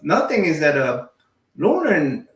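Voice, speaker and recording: Halting higher-voiced man in an echoey room. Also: huge pitch swings, fairly bright tone. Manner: halting, repeats words while forming thoughts. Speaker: panelist in a book discussion. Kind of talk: casual discussion. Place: indoor room at home, video call. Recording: echoey room on video call.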